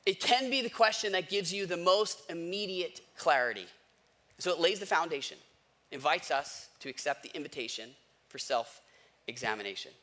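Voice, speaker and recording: Bright-voiced American man preaching. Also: a little high, expressive. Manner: emphatic, repeats key words and questions with pauses. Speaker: pastor preaching to a congregation. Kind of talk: conversational sermon.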